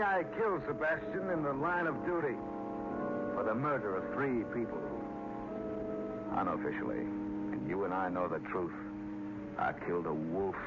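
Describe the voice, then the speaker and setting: An actor in an old-time radio drama playing a stern small-town lawman who speaks in a blunt, deliberate, accusing way. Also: mid-range voice, lightly acted. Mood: solemn. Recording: muffled, noisy old radio recording.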